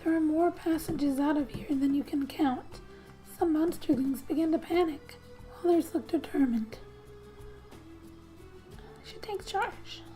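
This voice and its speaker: A woman narrating a game in soft-spoken ASMR style, often dropping into a breathy whisper, reading gently like a storybook with quiet asides. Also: high-pitched, measured pace, long pauses, faint music behind, rough recording. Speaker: gaming ASMR video host.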